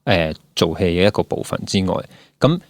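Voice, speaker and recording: Deep-voiced Hong Kong man, very dry sound. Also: lively intonation, fairly quick, dry recording. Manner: explanatory, mixes English words into speech. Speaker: podcast host interviewing a guest. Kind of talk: conversational interview.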